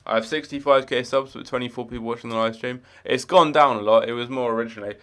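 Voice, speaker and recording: A man's voice: medium pitch, steady tone, fast, a bit bright, smooth. A young man with no marked accent, chatting casually while live-streaming a game, rambling with many fillers and restarts.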